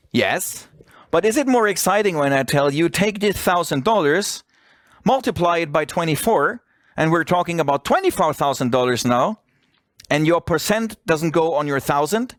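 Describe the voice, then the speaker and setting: Non-native english guy doing an informal sales webinar, voice a bit high, dry sound, keeps asking and answering his own questions. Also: no echo. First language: not English. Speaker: webinar presenter. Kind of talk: informal sales pitch presentation.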